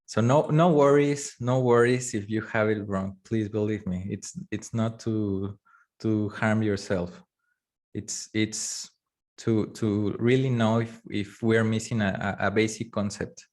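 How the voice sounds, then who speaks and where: A man whose first language is Spanish, teaching a live online course, talking in a halting way with many fillers and restarts. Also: lowish voice, steady tone, darkish tone. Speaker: course instructor. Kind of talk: live online class lecture.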